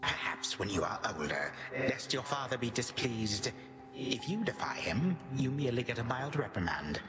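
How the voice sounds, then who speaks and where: An echoing, music-backed game villain: an ancient god of time, acted by a man. He speaks in grand archaic phrases with a sly, bitter edge. His breathy voice rises and falls dramatically. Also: mid-range voice, big pitch swings, normal speed, close to an everyday voice. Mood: playful, indulgent. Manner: grandiose, archaic, theatrical, sardonic. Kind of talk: video game voice acting. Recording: loud music behind, echoing room. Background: loud game music.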